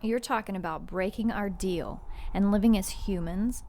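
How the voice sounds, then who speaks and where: A wary female demon sidekick, played by a woman in a radio drama. Her voice sits at a medium pitch, and she talks in a dry, questioning way, loyal yet skeptical. Light ambient noise sits behind her. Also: normal speed, mellow, lightly acted. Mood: wry. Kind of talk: audio drama acting.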